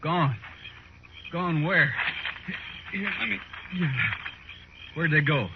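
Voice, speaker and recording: An actor playing a groggy, whining outlaw under arrest in a 1950s radio western, agitated, halting and pleading. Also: male voice, a little high. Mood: desperate, pleading. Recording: noisy, tinny 1950s radio recording.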